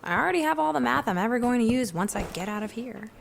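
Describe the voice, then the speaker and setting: A woman's voice: a little high, animated, fast talker, creaky, a little hiss or hum. A woman playing a sardonic, teasing young theater student in an audio drama. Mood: wry. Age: young adult. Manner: dry teasing.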